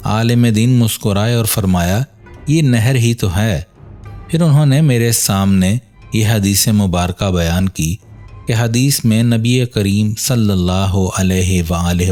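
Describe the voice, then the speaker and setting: A man narrating a scripted religious moral story in a measured, earnest storytelling manner. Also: on the low side, a little mellow. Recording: voice over background music. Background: music.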